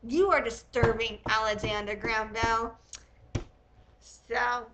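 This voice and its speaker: A woman's voice: on the high side, steady tone, slow, a bit bright, a little hiss or hum, poor audio. A woman reading aloud and commenting as a host, in a blunt, opinionated, casual way. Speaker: host reading and critiquing a historical text.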